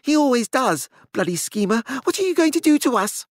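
A man's voice: very high-pitched, sing-song, brisk, dry recording, clean studio sound, pitched above the performer's own voice. A man voicing a frantic, suspicious character with a ragged, unsteady voice in an audiobook. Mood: wild, fearful.

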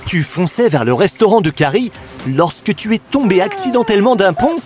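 A man's voice: high voice, sing-song, moderate pace. A man narrating a children's cartoon, expressively and encouragingly speaking to the characters in simple phrases. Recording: loud music behind the voice. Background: loud cartoon music.

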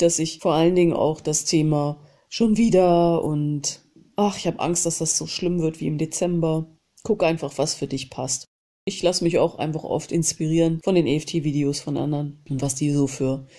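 A woman with a cold hosting a self-help channel, calmly guiding a tapping session and repeating short phrases between named tapping points. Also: low voice, moderate pace. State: has a cold. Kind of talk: guided EFT tapping session.

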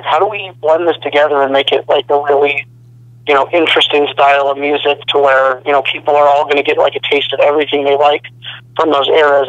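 A young man, a rock band's singer, talking on a phone interview in a relaxed, rambling way full of "you know.". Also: on the high side, no echo. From Arizona. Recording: dry telephone line.

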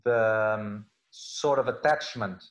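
A man's voice: lowish voice, slow, poor audio. Middle-aged man with a Portuguese accent. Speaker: law professor, interview guest. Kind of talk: conversational interview answers. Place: indoor room, video call. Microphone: wired earphones on a video call.

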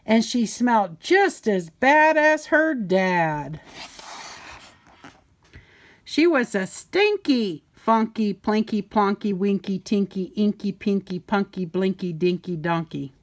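An older woman reading a children's picture book aloud in a playful, sing-song way with deliberate emphasis. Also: mid-range voice, lively intonation. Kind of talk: storybook read-aloud.

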